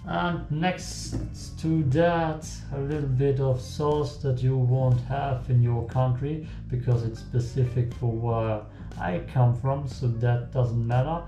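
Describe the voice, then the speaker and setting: Young man with a German accent, casual cooking vlogger, dry jokes, slow delivery, roomy kitchen sound. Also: mid-range voice, steady tone. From German-speaking country. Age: young adult. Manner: joking, self-talk while working. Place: small home kitchen, indoors. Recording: echoey kitchen, faint music.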